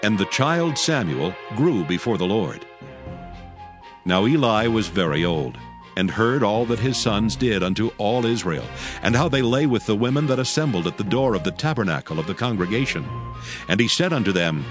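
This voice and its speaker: A man's voice: lowish voice, lively intonation, moderate pace. A man narrating a dramatized audio Bible from a script, with music behind him. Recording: faint music under the voice.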